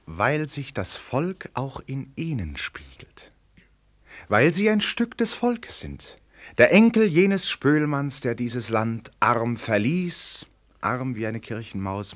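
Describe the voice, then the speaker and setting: An actor playing an eloquent, flattering gentleman in a radio drama, earnest and rhetorical, moving between hushed and emphatic phrases. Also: mid-range voice, a little slow. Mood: rousing, flattering. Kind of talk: German radio drama acting.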